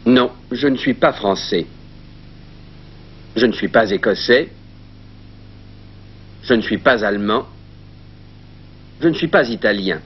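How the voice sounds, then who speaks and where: English guy, english accent, super slow careful french, breathy husky voice. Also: fairly low pitch, lively intonation, dull audio. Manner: slow, deliberate learner's phrasing.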